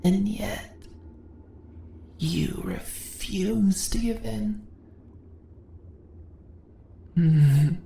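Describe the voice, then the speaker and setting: Slow, whispery, taunting spider monstress, played by a woman. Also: mid-range voice, mellow, full character voice. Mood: teasing, seductive. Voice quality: breathy, often dropping into whispers. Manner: slow, teasing, condescending, drawn-out questions. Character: seductive, taunting, dominant female spider monster. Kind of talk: ASMR roleplay, intimate and whispery. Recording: roomy echo, faint background noise.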